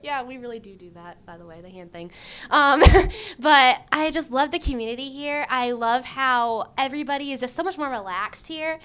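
Young woman job candidate, casual rambling answers, clear tone, handheld mic indoors. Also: high-pitched, normal speed. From Michigan. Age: young adult. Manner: chatty, animated, lots of likes and ums, gestures with her hands. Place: indoor room before a banner. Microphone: handheld mic held by the interviewer.

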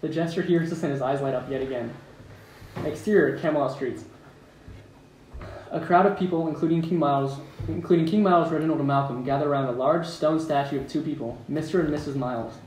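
A man's voice: on the high side, fairly quick, a little mellow. A man reading stage directions as the narrator at a script table read. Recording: echoey room, distant mic, faint noise.

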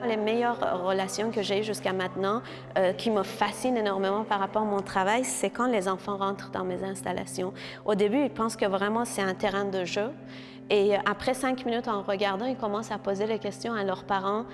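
A woman's voice: medium pitch, fairly even intonation, a little fast, long reverb tail, over music. A woman, talking earnestly about her art with frequent hesitations. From Iran. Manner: earnest, hesitant with many filler sounds. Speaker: visual artist. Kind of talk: interview about her exhibition.

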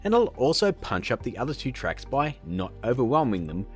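A man hosting a casual software tutorial, upbeat and playful with joking asides. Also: medium pitch, sing-song, brisk, soft background music. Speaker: tutorial host.

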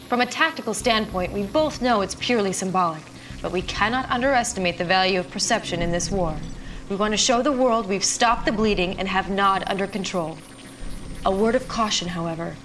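Composed, medium-pitched actress as military briefing officer. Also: female voice, a bit bright, slight background noise, close to an everyday voice. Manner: crisp, composed. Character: composed, serious female military intelligence officer. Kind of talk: acted scripted mission briefing.

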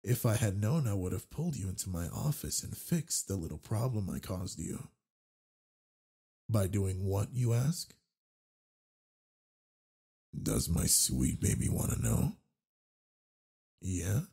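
A man playing a flirtatious, confident werewolf alpha with a husky, gravelly voice in an intimate roleplay audio drama, teasing and tender toward the listener. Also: deep voice, mellow, heavily acted.

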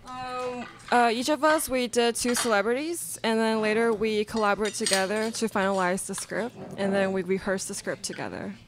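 A woman's voice: on the high side, moderate pace, hardly any pauses. A young adult woman, a student presenter speaking live at a radio theatre show. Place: indoor classroom stage before small audience. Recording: noisy live room recording.

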